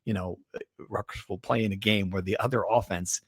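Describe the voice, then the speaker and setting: A man's voice: lowish voice, steady tone, fairly quick, slightly rough, no room sound, studio quality. A man co-hosting a sports podcast, talking through games in a casual, conversational style.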